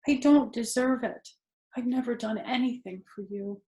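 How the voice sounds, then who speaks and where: A woman's voice: a little high, moderate pace, warm tone, echoey room, pitched above the performer's own voice, natural voice. A woman voicing an earnest, emotional young male pig in a children's storybook, with a pleading, wide-eyed manner. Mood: moved, humble. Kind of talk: children's storybook character reading.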